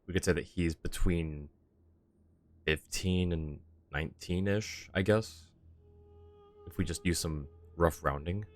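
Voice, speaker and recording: A man's voice: sits low, steady tone, slow, long pauses, soft background music. A man giving casual live stream commentary on a game, musing and thinking aloud.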